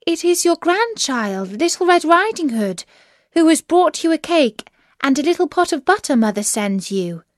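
An innocent, trusting little girl from a storybook, played by a woman. The voice is raised and sing-song, with wide swings of pitch and earnest, wide-eyed phrasing. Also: big pitch swings, moderate pace, no room sound, studio quality. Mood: polite.

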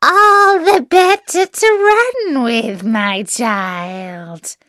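A woman voicing a sly, hungry male wolf in a storybook, coaxing and predatory, with drawn-out theatrical phrasing. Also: fairly high pitch, pushed and bright, no room sound, clean studio sound, voice pushed up, exaggerated voice. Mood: menacing glee.

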